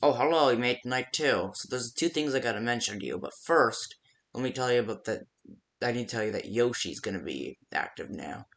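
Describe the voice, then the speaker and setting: A man casually improvising phone-call style guide messages for a fan-made game, rambling and haltingly restarting his sentences. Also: medium pitch, expressive, vocal fry, fairly bright tone. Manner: rambling, halting, self-interrupting with stammered restarts.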